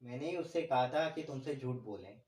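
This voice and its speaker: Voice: mid-range voice, animated, a little fast, darkish tone, lightly acted. A performer playing a proud, scheming husband in an amateur moral story, with a self-justifying manner. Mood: self-satisfied. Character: image-conscious scheming husband, proud of himself. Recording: roomy, echoing sound.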